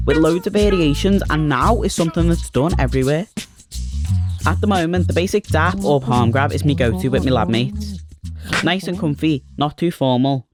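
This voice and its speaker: A man in his twenties speaks with a Liverpool accent, his voice sitting a little high for a man. He narrates in a chatty, self-deprecating, wry way. Clear music plays underneath his narration. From Liverpool, England. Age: 20s. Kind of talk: scripted narration for an animated short.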